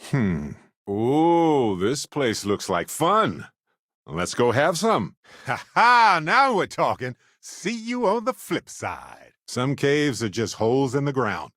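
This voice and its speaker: An actor playing an affable, wisecracking space explorer and scientist in a video game, speaking in a playful, wry, conversational way. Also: male voice, medium pitch, big pitch swings, slow pace, a bit bright, dry recording, clean studio sound, full character voice. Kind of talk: video game companion dialogue.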